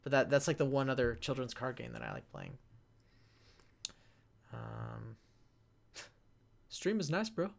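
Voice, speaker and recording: Male, casual panelist in a live question session, noticeable vocal fry, rambling and stop-start delivery. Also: medium pitch, huge pitch swings. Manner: halting, rambling, self-interrupting. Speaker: panelist, web series creator answering fan questions.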